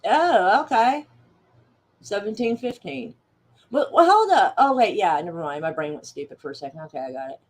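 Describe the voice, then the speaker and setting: A woman's voice: mid-range voice, very animated, normal speed. A woman hosting a casual group voice chat, thinking aloud and correcting herself. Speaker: host.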